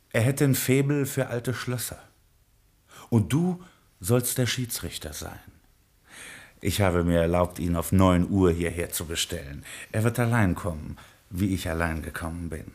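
A gangster boss leaning on an old friend for help. His voice is on the low side, and he talks dramatically and persuasively, as in a classic radio drama. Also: measured pace. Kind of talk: German radio play acting.

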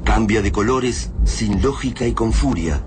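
A man with an Argentine accent playing a grave narrator of an alien invasion radio drama, speaking in a solemn, deliberate, ominous way. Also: fairly low pitch, noisy background, close to an everyday voice.

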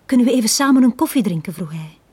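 A Flemish actress playing a lonely, downtrodden young bar girl in a radio drama, speaking in a hushed, halting, confiding way. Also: a little high, expressive. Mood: wistful, hurt. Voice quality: breathy, hushed.

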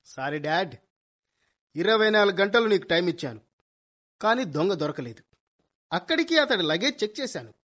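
A high-voiced, cocksure young detective played by a man in a comic radio play. He makes grand, theatrical declarations peppered with English. The recording is dull and lo-fi. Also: very animated, moderate pace, long pauses, a bit bright, exaggerated voice. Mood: earnest. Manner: bombastic vows, English words mixed in. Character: cocky amateur detective son, young, boastful, dramatic. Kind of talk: Telugu comedy radio play acting.

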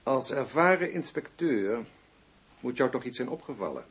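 An actor playing a zealous, accusatory public prosecutor in a Dutch radio play, probing and insistent. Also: male voice, mid-range voice, animated, normal speed, fairly bright tone, husky, heavily acted. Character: sharp, zealous public prosecutor out to topple the federal police. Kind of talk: Dutch radio drama dialogue.

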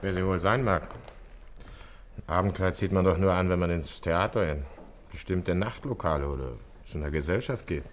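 A man's voice: low-pitched, moderate pace, slight background noise, lightly acted. An actor playing a dutiful male detective assisting his chief in a German radio play, plain and deferential. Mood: thoughtful, hesitant.

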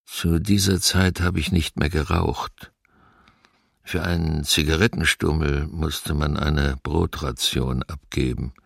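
An actor playing a grave camp survivor in a documentary radio drama, recalling the war in a measured, sober way. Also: male voice, rumbling low, steady tone, vocal fry, dry recording, studio quality, exaggerated voice.

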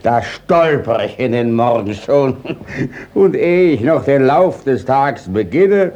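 Sly old-time radio drama village judge, slow drawn out delivery, theatrical, full of evasive bluster. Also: male voice, medium pitch, expressive, darkish tone, lo-fi sound. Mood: defensive grumbling. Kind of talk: 1950s German radio play acting of classic verse.

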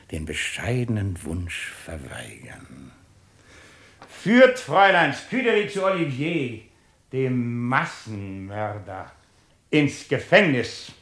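An actor playing an imperious, courtly court president, declaiming formally and with irony in a 1950s radio play. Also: male voice, lowish voice, a bit bright, slightly rough, full character voice. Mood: silky, commanding. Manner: formal, theatrical, emphatic declaiming.

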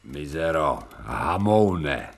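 A man's voice: very deep voice, big pitch swings, heavily acted. A foul-mouthed dog-man. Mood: abusive. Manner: rough, growling insults. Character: crude newly human dog-man, coarse and foul-mouthed.

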